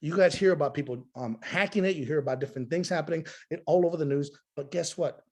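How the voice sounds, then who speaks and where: A hoarse middle-aged man pitches over a video call, his voice pitched a little high for a man. He leans hard on repeated phrases and drives each point home like a seasoned salesman. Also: fast, a little mellow. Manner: emphatic, repetitive sales delivery. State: hoarse from talking nonstop. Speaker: presenter.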